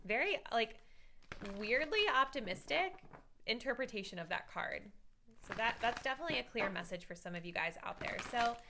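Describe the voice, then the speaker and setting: Young woman, tarot reader, casual chat, slightly raspy, bright tone. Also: on the high side, animated, a little fast, a little hiss or hum. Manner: chatty, many "like"s, thinks aloud. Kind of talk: casual tarot card reading to viewers.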